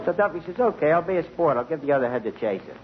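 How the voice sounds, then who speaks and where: High-pitched New wise guy barkeep. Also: big pitch swings, quick pace. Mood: storytelling. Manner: streetwise, mangles grammar and big words. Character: wisecracking, malapropism-prone male tavern manager. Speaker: comic lead of a radio sitcom. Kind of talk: 1940s radio comedy acting. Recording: muffled, noisy 1940s radio recording.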